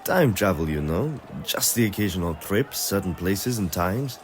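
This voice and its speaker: A man's voice: sits low, lively intonation, moderate pace. Man playing a shifty carnival ringmaster, music underneath. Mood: evasive, pleading. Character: evasive male carnival ringmaster, pleading and shifty.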